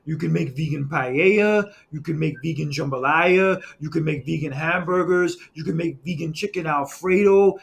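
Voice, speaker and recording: A man hosting a podcast in a notably high voice with a gravelly, creaky edge. He talks in a laid-back way, swears, and jumps into the chat. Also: normal speed, few pauses. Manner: laid-back, profane, chimes in. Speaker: podcast host.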